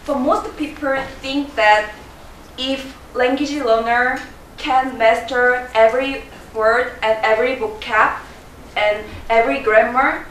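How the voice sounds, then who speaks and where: A woman's voice: on the high side, fairly bright tone, slight background noise. A young Korean woman presenting in English with a Korean accent, quizzing her audience and laughing often. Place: large room with a live audience. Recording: echoey room from a distance.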